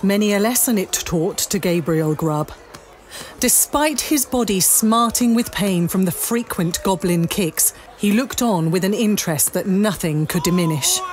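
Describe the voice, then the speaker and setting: A woman narrating a dramatic scripted story in measured, deliberate phrases. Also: mid-range voice, expressive, darkish tone, slight background noise. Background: music.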